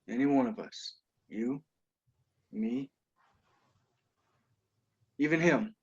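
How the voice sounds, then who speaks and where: A man's voice: medium pitch, sing-song, slow, gravelly, slightly rough, full character voice. Man acting cocky young horror fan, muffled call. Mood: admiring, eerie calm. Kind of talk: table read of a film script over video call. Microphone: video call. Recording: dull, muffled video call audio.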